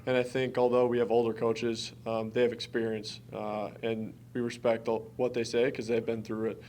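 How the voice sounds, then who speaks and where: A man's voice: mid-range voice, completely flat delivery, normal speed, a little mellow, slight background noise. A young man from Wisconsin, a college basketball player, answering press conference questions in an earnest, informal way. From Wisconsin, United States. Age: early twenties.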